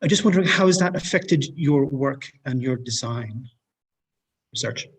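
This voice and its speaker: A male moderator with a gravelly, husky voice at a middle pitch. He leads a panel discussion over a video call and patiently rephrases his questions until they land. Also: animated, normal speed.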